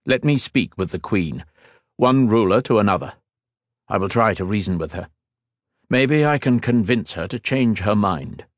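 A man playing a stern, protective king and father in an audiobook, speaking with deliberate authority. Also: lowish voice, expressive, no room sound, clean studio sound, natural voice. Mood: grave, reasoning.

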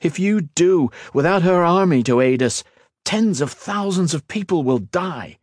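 A man's voice: fairly high pitch, lively intonation, moderate pace, no room sound, clean studio sound, voice pushed up. A man playing a desperate male mage in an audiobook, pleading urgently and earnestly.

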